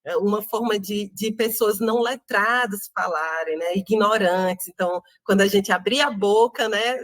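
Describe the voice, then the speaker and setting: A woman with a Northeastern Brazilian accent, an interview guest speaking thoughtfully with many pauses and tag questions. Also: medium pitch, no room sound. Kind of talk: reflective interview talk.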